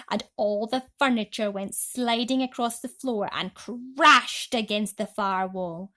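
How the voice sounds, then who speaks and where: A woman narrating a children's bedtime story as an expressive, dramatic storyteller. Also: medium pitch.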